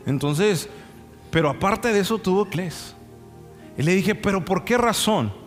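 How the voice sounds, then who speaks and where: A man's voice: high voice, expressive, unhurried. A young man preaching a sermon with anecdotes in a conversational way, often checking in with rhetorical questions. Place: church sanctuary. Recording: reverberant hall sound, music underneath. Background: music.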